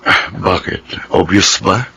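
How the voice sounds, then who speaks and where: A man's voice: deep voice, slow, vocal fry, sharp tone, husky, heavily acted. An actor playing a burdened, wary middle-aged man with a Filipino accent and a hesitant manner, in a radio drama. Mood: wary, polite. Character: burdened, wary older stepfather figure, polite and flustered.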